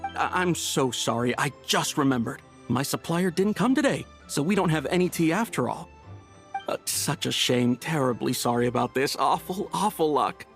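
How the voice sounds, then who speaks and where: A man's voice: on the high side, lively intonation, moderate pace, creaky, soft background music. A man voicing an ingratiating tea shop vendor in a video game, polite and nervous, with a stammering delivery. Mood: flustered.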